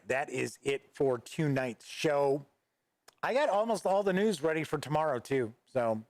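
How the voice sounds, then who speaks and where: A man's voice: mid-range voice, dry recording. A man hosting a gaming news livestream, rambling casually as he reads viewer chat aloud and answers it, close on a desk microphone. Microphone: studio microphone at a desk.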